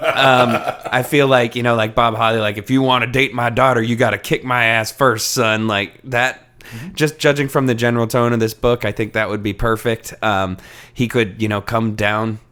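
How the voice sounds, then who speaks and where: A man's voice: mid-range voice, fairly even intonation, normal speed, a bit bright, clear, resonant tone. A man co-hosting a podcast in casual, joking conversation, informal and free with swearing. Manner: jokey, informal, swears freely. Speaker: podcast co-host. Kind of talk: casual chat, comedic book discussion.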